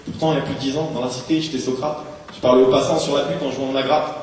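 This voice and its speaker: Man, stage slam poet, rapid rhymed declamation, bitter down-and-out character, strong hall echo, faint noise. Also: medium pitch, steady tone, a little mellow. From France. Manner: rhythmic, declamatory delivery in rhymes. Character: embittered, washed-up homeless street drinker remembering his youth. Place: large theatre hall, live on stage. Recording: echoey hall, distant mic, faint noise.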